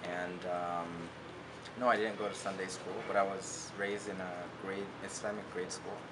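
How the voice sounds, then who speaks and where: A young man from California, a college student, calmly answering interview questions in a measured way with some fillers. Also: sits low. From Santa Clara, California. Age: young adult. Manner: calm, measured storytelling with fillers. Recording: loud background noise.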